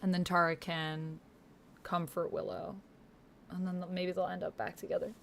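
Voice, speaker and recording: A woman with a slightly low voice talks casually through an online roleplaying game. She thinks aloud in halting phrases, reading chat and her own typed lines. Light noise and keyboard typing sit behind her. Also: level tone, vocal fry. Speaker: streamer and player of a text-based roleplaying game. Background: computer keyboard typing.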